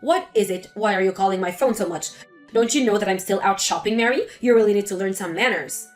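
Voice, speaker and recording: A woman's voice: mid-range voice, fast, faint music behind, pitched below the performer's own voice, natural voice. A woman voicing a spiteful, scolding older mother-in-law in a scripted text-story drama. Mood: scornful. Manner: scolding, contemptuous.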